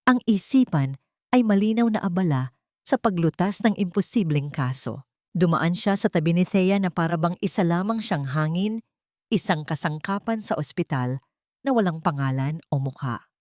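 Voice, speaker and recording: A woman narrating a scripted audio drama in a solemn, deliberate, dramatic storytelling style. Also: low voice, darkish tone, no echo, studio quality.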